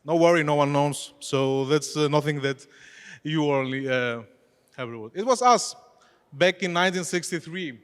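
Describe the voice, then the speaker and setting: A man's voice: fairly high pitch, normal speed, smooth. A man whose first language is Greek, giving a chatty conference presentation on stage and putting questions to the audience in loosely phrased English. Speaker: conference speaker, head of e-commerce. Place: expo hall stage. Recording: long reverb of a large hall.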